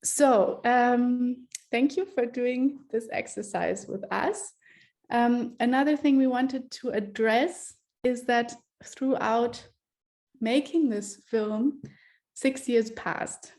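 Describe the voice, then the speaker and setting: A woman's voice: on the high side, takes it slowly, darkish tone. A woman filmmaker who was born in Germany and grew up in Ethiopia, speaking reflectively in an online panel over a video call.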